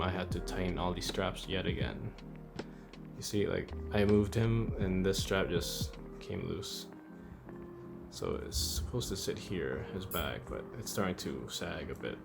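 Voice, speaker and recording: Male reviewer, fairly deep voice, informal and offhand, rambling chat over a music bed. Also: gravelly. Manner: informal, offhand, thinking aloud with mild swearing. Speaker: host of a toy figure review channel. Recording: music under the voice. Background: background music.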